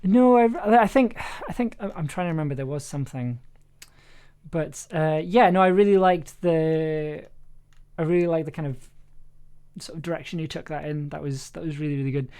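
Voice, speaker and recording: A man's voice: a little high, moderate pace, a little mellow, smooth. Man, audio drama director, casual chat, hesitant, searching for words, repeats phrases.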